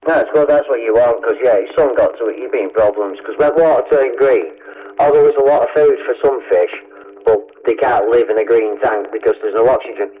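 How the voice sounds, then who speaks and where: A man's voice: medium pitch, brisk, breathy edge, narrow, tinny audio. A man with a Northern English accent chatting casually over CB radio, agreeable and inclined to explain things. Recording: noisy, band-limited CB radio transmission.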